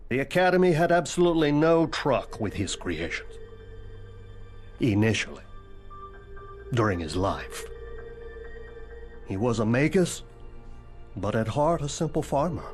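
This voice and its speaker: A dead headmaster's ghost in a game cutscene, aloof and formal, talking about horrors in a deadpan, macabre way. His mid-range voice sometimes sinks to a dry whisper. Soft music plays under him. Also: mid-range voice, unhurried, long pauses. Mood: wry. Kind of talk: video game cutscene dialogue.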